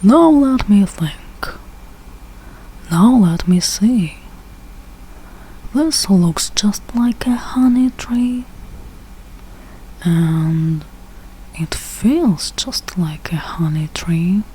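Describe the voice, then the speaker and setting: A woman reads a rhyming children's picture book aloud at an unhurried pace. Her voice is mellow and mid-pitched, and she goes phrase by phrase with deliberate pauses. There is faint noise behind her. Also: animated, low-quality recording. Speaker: read-aloud reader learning English. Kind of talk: slow read-aloud of a rhyming children's storybook.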